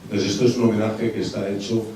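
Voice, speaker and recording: A man's voice: fairly low pitch, level tone, fast, mellow, slight background noise. A man moderating a debate after a film screening, speaking hesitantly with many fillers and restarts. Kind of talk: post-screening Q&A. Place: cinema hall. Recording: very echoey hall from far off.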